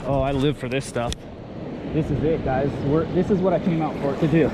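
A man's voice: on the high side, a little fast. A man giving casual, slangy fishing commentary as an enthusiastic vlog host, often repeating short phrases. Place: outdoors on a rocky ocean beach, wading. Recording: noisy outdoor action-camera audio with surf. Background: waves and surf.

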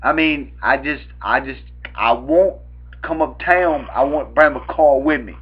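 A man's voice: medium pitch, measured pace, breathy edge. Rambling young Southern man, muffled sound. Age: young adult. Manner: rambling, repetitive, stammering. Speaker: prank caller. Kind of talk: improvised prank phone call. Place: small room at home, indoors. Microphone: webcam at arm's length. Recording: dull, lo-fi webcam audio.

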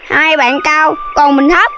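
A woman's voice: high-pitched, fairly even intonation, slow pace, background noise. A woman voicing a small, sulky boy piglet in a children's cartoon, whiny and childish.

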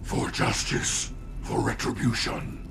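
An actor playing a grim demonic spirit of vengeance in a video game, rasping out solemn, menacing lines. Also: male voice, slow pace, a bit bright. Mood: grim, accusing. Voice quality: gravelly, rasping. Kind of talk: video game cutscene acting. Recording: music behind the voice.

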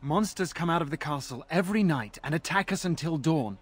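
An actor playing a frightened, humble young village archer in a fantasy game, anxious and deferential. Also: male voice, fairly high pitch, animated, brisk, breathy edge. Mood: desperate, fearful. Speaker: game character. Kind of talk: dramatic fantasy game acting.

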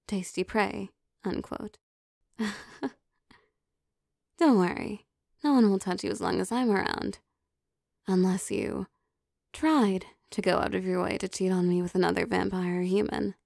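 A woman playing an affectionate, possessive vampire girlfriend in an intimate ASMR roleplay, speaking in a soft, teasing, coaxing manner. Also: medium pitch, vocal fry, no room sound, studio quality. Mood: playful, possessive. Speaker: voice actor.

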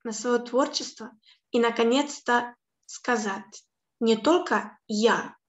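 A young woman giving an online lecture from a home room on a video call, measured and a little hesitant, with fillers and self-corrections. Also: on the high side, dull audio. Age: young adult. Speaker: lecturer on Slavic poetry. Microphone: webcam on video call. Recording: echoey room.

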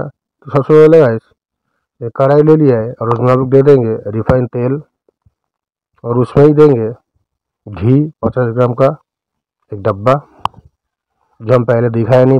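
A man with an Indian accent narrating a recipe step by step as a cooking host, in a smooth, clear voice. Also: medium pitch, fairly even intonation, normal speed, pauses often, no room sound, highs cut off.

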